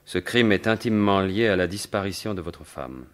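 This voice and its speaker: An actor playing a confident, wry gentleman thief turned investigator in a French radio mystery in 1950s style, speaking in assured, formal, articulate phrases. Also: deep voice, expressive, moderate pace. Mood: probing, grave.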